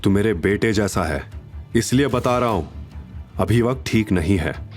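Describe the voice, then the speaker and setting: A man voicing a stern, gruff uncle and boss who scolds and threatens in an audio story. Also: lowish voice, expressive, measured pace, faint music behind. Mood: stern warning. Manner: barking, interrogating, threatening.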